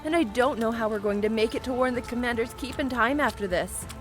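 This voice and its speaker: A woman playing a tired, worried adventurer in a fantasy audio drama, speaking quietly. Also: on the high side, brisk, faint music behind, natural voice. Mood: weary, worried.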